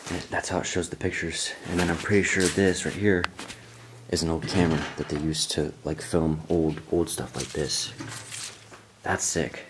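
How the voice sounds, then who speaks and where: Low-voiced young man exploring ruins. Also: moderate pace, background noise. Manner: excitable, chatty, thinks aloud. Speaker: urban explorer host. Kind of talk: casual exploring vlog. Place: abandoned newspaper building indoors.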